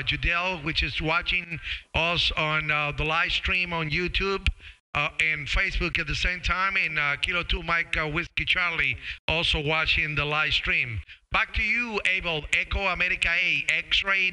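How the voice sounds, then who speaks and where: A man's voice: a little high, sharp tone, clean tone, rough recording. A middle-aged man making casual ham radio contact as the operator, speaking hesitantly and repeating words and call signs, close on a studio microphone. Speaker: amateur radio operator and live streamer. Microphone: boom-arm studio microphone close to mouth, with headset.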